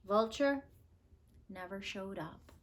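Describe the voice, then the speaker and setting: A woman narrating a children's storybook aloud in an expressive, measured way with dramatic emphasis. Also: mid-range voice, slight background noise. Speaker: storybook reader.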